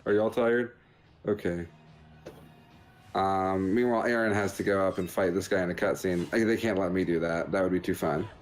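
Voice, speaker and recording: A man's voice: deep voice, steady tone, moderate pace, soft background music. A man hosting a video game show, giving casual, rambling commentary in a joking, understated way.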